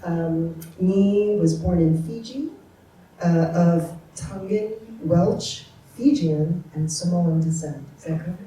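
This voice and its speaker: A woman introduces the next speaker at a community event, hesitant and full of fillers. Her voice is dark and mellow and rather low. She is picked up from a distance in a very echoey room with faint noise. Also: slow. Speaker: event host introducing the moderator.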